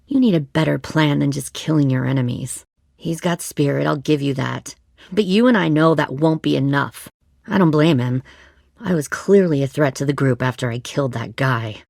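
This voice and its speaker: Menacing woman survivor leader, deep female voice, cold, deliberate, coercive, zombie game drama, dry studio recording. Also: gravelly, darkish tone, dry recording, exaggerated voice. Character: hardened, menacing woman leader of apocalypse raiders. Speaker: video game voice actress. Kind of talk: dramatic video game acting.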